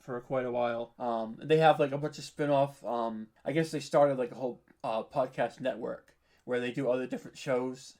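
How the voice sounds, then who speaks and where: A man's voice: mid-range voice, steady tone, normal speed, darkish tone. A man speaking as a podcast guest in casual, informal interview chat, hesitant and modest about himself. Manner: hesitant, self-deprecating, informal. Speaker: podcast guest, audio drama writer and producer.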